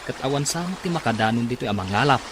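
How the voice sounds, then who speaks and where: A man's voice: mid-range voice, expressive, background noise, close to an everyday voice. A man with an Ilocano accent playing an easygoing seaman in a radio drama. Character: easygoing seaman at sea, joking with crewmate. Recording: loud rushing water sound effects.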